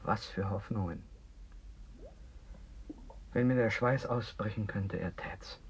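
A man acting an anxious, philosophising character in a German radio play, declamatory and rough-edged. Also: lowish voice, slow pace, a little hiss or hum. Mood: weary, brooding.

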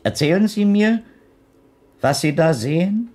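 An actor playing an old blind gentleman in a radio drama, courtly and gallant, with ornate, formal phrasing. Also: sits high, lively intonation, measured pace. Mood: insistent, lecturing. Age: older. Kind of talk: German radio drama acting.

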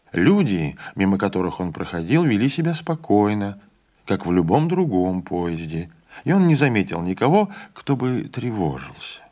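A man narrating a literary audiobook with an expressive reading, giving the characters' lines a voice of their own. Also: lowish voice.

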